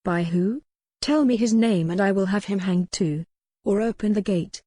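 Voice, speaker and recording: A woman's voice: mid-range voice, normal speed, dark tone, dry recording, studio quality, natural voice. A woman voicing a proud, defiant young noblewoman, formal and imperious, in audiobook character dialogue. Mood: threatening.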